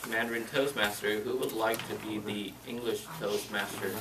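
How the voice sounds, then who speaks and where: A man's voice: fairly low pitch, steady tone, moderate pace. A man leading a club meeting, thanking volunteers and encouraging members in a friendly way. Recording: distant mic with loud noise.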